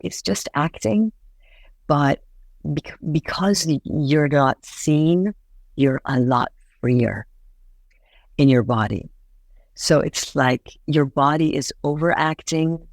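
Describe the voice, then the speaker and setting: Woman from Iran, interview guest, gravelly low-register voice, dry close sound, earnest and halting. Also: dry recording. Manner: thoughtful, earnest, with many fillers and restarts. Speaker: voice actress guest. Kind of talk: interview answers.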